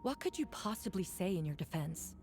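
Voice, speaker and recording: Stern, accusing prosecutor. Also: female voice, lowish voice, very animated, fairly quick, darkish tone, soft background music. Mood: indignant, accusing. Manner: formal, confrontational. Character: stern, accusing female prosecutor in a fantasy court. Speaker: prosecutor in a court scene. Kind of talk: game character voice acting.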